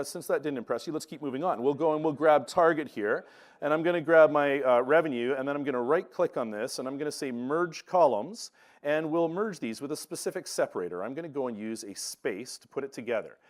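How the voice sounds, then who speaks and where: A man giving a lively, joking live software demonstration on a conference stage. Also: medium pitch. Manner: upbeat, joking, talks while clicking through steps. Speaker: presenter. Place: large conference hall stage. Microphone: lapel mic, cutting in and out at first. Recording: roomy distant hall sound, noisy.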